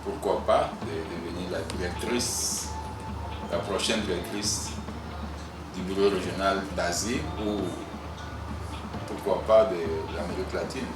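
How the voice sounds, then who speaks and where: A senior manager speaking slowly and deliberately in a testimonial. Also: male voice, sits low, fairly even intonation. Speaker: senior manager giving a tribute. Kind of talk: recorded testimonial. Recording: echoey, distant, loud music.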